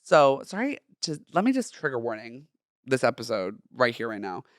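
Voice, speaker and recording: A man's voice: mid-range voice, normal speed, no echo, studio quality. Campy young man with a light voice. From Southern California. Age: young adult. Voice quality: feminine, light voice. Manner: chatty, campy and expressive with playful slang. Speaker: podcast host. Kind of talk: personal solo podcast monologue.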